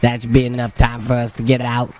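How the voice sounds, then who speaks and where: A man's voice: mid-range voice, monotone, a little fast, a little mellow, natural voice. An actor playing a menacing, bossy male villain in comic action drama, faint noise behind.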